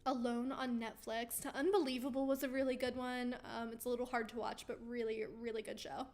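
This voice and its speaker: Chatty woman host, voice going hoarse. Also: on the high side, little pitch movement, fairly quick, darkish tone. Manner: chatty and upbeat, with frequent ums and easy laughs. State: losing her voice. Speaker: host of a weekly live Q&A stream. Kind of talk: casual livestream chat, reading viewer comments aloud.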